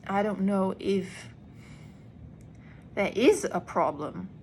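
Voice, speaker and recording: A woman actor talks slowly and earnestly in a personal vlog, pausing between phrases for emphasis. Her voice sits at a middle pitch. Faint background noise runs under her speech. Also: a little mellow. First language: English. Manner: earnest, deliberate, with emphatic pauses. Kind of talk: reflective personal vlog monologue.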